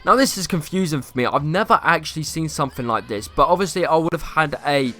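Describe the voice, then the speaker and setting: A young man YouTuber with a slightly croaky voice, rambling through casual gameplay commentary and asking viewers questions. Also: a little high, expressive, fast, bright, forceful tone, soft background music. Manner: rambling, self-correcting, asks viewers questions.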